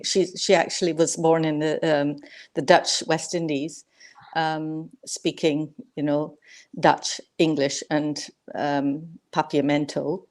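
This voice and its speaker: A woman in her sixties from Barbados, answering questions as a guest on a video panel. Her voice sits low, and she talks in a measured way, with frequent "um" fillers between thoughts. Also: darkish tone. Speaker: guest grandmother. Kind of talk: live video panel conversation.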